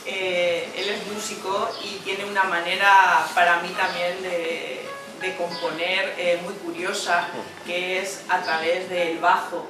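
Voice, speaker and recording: A woman hosts a literary event in a flat, medium-pitched voice. She speaks informally, pausing between phrases. The sound is distant and heavily reverberant, with noticeable noise. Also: over music. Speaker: presenter of a poetry book event. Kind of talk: informal spoken introduction and questioning. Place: echoey café event room. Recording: very echoey noisy room, distant microphone.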